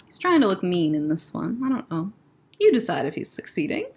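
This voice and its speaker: A young woman reading a children's picture book aloud as a librarian storyteller, expressive and deliberate. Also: mid-range voice. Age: young adult. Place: indoor library room.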